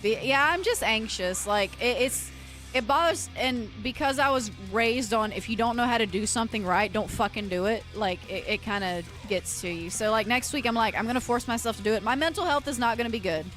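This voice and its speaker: A woman's voice: a little high, a little fast, a bit bright, soft background music. A woman livestreamer chatting casually and earnestly with her viewers, rambling and often restarting her sentences. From United States. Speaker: livestreamer and metal vocalist.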